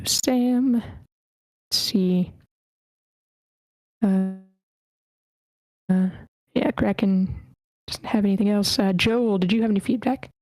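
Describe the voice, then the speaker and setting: A woman's voice: mid-range voice, darkish tone, no echo, poor audio. A woman hosting an online feedback session, casually moderating and reading messages aloud. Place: online video meeting.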